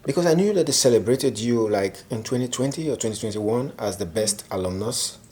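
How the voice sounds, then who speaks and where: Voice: medium pitch, animated, fairly quick, mellow. An interviewer asking curious, prompting questions and reacting briefly to the answers. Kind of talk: conversational interview.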